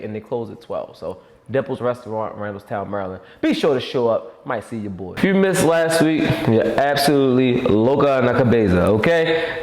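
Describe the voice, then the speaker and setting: A man, hosting a casual interview in a restaurant lounge, upbeat and playful, tripping over repeated words. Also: fairly high pitch. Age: 30s. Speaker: host. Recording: reverberant room.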